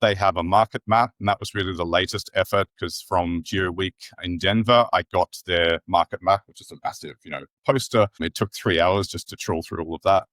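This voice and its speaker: A man's voice: low voice, fairly quick, hardly any pauses, a bit bright, no echo. An Australian man in his forties, a podcast guest explaining his thinking at length in a thoughtful, rephrasing way. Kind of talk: casual interview chat.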